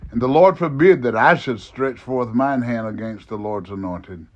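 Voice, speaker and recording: A man's voice: mid-range voice, normal speed, muffled recording, lightly acted. A man reading the lines of an earnest young warrior pleading with a king, his voice a little raised.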